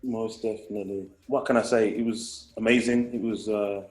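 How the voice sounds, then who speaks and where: A man's voice: on the low side, little pitch movement, normal speed, reverberant, soft background music. A man, a guest in casual conversation, reflective and rambling with restarts. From England. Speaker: guest, hip hop artist.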